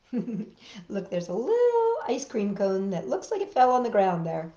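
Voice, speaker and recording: A woman hosting storytime, reading a picture book aloud in an expressive, exaggerated way with drawn-out words. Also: mid-range voice, echoey room, highs cut off. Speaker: children's storytime host and reader.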